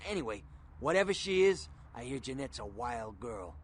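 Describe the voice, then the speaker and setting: An actor playing a streetwise, world-weary criminal informant in a video game, talking tough and slangy in a conversational way. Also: male voice, medium pitch, big pitch swings, normal speed, a little hiss or hum, natural voice. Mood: confiding, wary.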